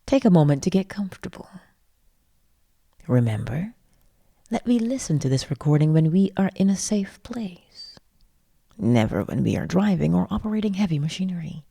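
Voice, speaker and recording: Breathy, half-whispering woman guiding sleep meditation. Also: lowish voice, very animated, dry recording, clean studio sound. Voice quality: breathy, often dropping into whisper. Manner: soothing, gently encouraging with long pauses. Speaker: guided meditation host.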